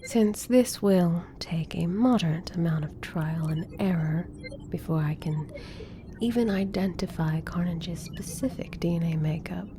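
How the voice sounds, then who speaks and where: Composed female doctor voiced by an actress: slow, lowish, formal and clinical, audio roleplay with clear background noise. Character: intelligent, composed female government scientist doctor. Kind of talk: ASMR roleplay drama.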